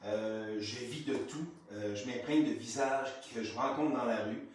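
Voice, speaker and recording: Québécois man in his fifties, halting. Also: mid-range voice. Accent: Québécois French. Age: 50s. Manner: halting, repeats words, many fillers. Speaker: painter, featured artist. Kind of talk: casual live conversation. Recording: echoey room, distant mic, faint noise.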